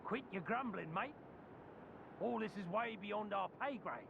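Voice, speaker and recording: High, creaky, thin-sounding soldier. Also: male voice, lively intonation, unhurried, full character voice. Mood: dismissive. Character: matey, dismissive male soldier hushing a grumbler. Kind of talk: game cutscene dialogue. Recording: thin phone-like sound, vehicle rumble.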